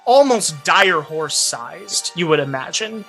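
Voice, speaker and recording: Bright-voiced male game master over music. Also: fairly high pitch, animated, takes it slowly. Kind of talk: improvised storytelling narration for tabletop game. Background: background music.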